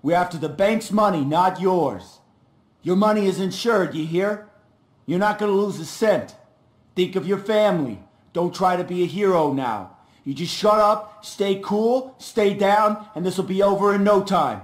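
A cool, firm American bank robber for a game. He gives commands in a fairly high voice that rings in a roomy space. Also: expressive, normal speed. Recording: roomy echo.

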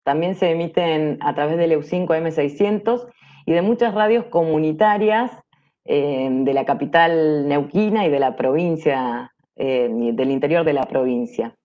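Argentine woman, 40s, low and slow, halting presenter on a slightly dull video call. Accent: Argentine Spanish. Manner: halting, pausing between phrases. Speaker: workshop presenter. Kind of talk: online presentation. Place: indoor room at home. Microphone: wired earphones on video call.

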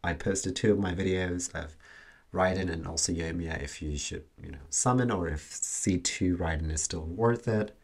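Young adult male reaction host. Deep voice, casual conversational commentary. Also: normal speed, creaky.

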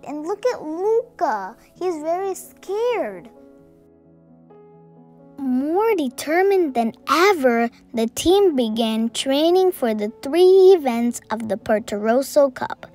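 Young girl reading a storybook aloud. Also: sits high. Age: child. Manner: reads text loudly, comments softly to viewers. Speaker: storybook reader. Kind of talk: reading a picture book aloud with comments.

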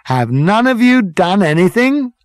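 A narrator acting as an exasperated man in a comic sci-fi storybook. The voice climbs high and swoops around, sarcastic and emphatic in its disbelief. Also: very animated, a little slow, no echo. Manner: incredulous, emphatic.

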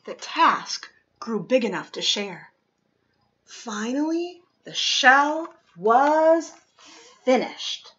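Very animated, high-pitched woman reading a storybook. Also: muffled recording. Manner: expressive, deliberate, dramatic emphasis for children. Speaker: storytime reader. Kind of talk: animated children's picture-book read-aloud. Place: living room, indoors.

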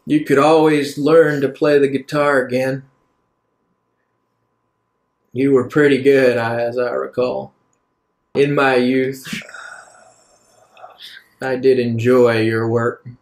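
A man voicing an aged, philosophical leader in a tabletop game, speaking solemnly and deliberately with pauses. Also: mid-range voice, little pitch movement. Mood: reflective. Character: aged male leader, measured, grave, philosophical, persuasive. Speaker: game master. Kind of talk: in-character tabletop roleplay.

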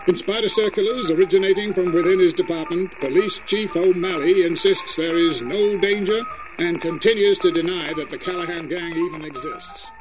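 Guy doing oldtime radio news announcer, high voice, formal and clipped, music under it. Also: close to an everyday voice, low-quality recording. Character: urgent male 1930s radio newsreader. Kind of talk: 1930s newscast acting.

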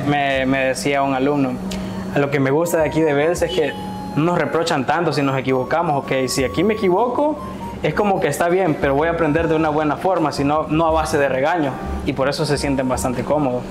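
A man's voice: fairly high pitch, noisy background. A young man in his twenties from El Salvador, eagerly explaining his language school in a casual interview, with many fillers. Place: small classroom, indoors. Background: soft background music.

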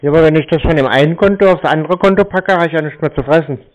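A man's voice: on the high side, racing. A man chatting casually on a call, blunt and nonchalant. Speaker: scam-baiter playing an uninterested prospect. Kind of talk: casual phone conversation. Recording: slightly dull, lo-fi call recording.

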